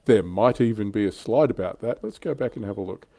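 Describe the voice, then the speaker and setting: A man's voice: fairly low pitch, fairly quick, dark tone, dry recording. A man hosting a casual livestream chat about tabletop wargaming in an informal, conversational way. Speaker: livestream host.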